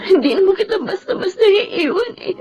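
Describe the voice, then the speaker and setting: A woman's voice: extremely high pitch, animated, a little fast, dull audio. An actress playing a grief-stricken young woman in a Tagalog radio drama, emotional and fierce, refusing to accept a loss. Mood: tearful grief. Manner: emotional, tearful, pleading and accusing. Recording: dramatic music bed behind.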